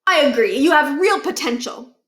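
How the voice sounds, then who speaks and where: A woman's voice: high-pitched, animated, normal speed, fairly bright tone, pitched above the performer's own voice. A woman voicing an earnest, self-assured schoolboy prankster who lectures in a storybook read-aloud. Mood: placating. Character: earnest, know-it-all schoolboy master prankster.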